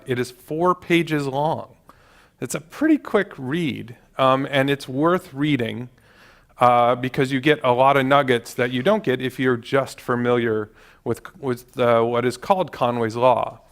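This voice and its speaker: A man who is a vice president of engineering gives a conference talk in a calm, measured way, with frequent ums and uhs. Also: medium pitch. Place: conference stage before an audience.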